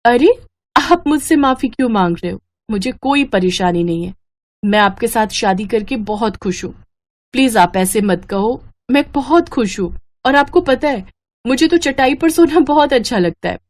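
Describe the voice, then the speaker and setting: Woman playing a gentle, devoted young wife. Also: on the high side, lively intonation, normal speed, lightly acted. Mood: reassuring, cheerful.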